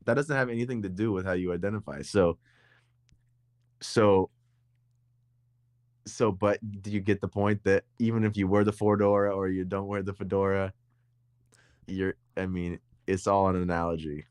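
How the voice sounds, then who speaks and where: Young male podcast co-host, deep voice, rambling, repeats himself. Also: fairly even intonation, normal speed, pauses often, darkish tone, clear, resonant tone, no echo, studio quality. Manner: rambling, repeats words and restarts often. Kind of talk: casual conversation.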